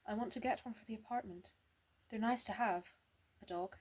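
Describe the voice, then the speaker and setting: A woman voicing an eager, showy young woman, lifting her voice a little higher and brighter for the character in an amateur read-aloud of a novel. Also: a little fast, husky. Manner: eager, gushing, raised pitch.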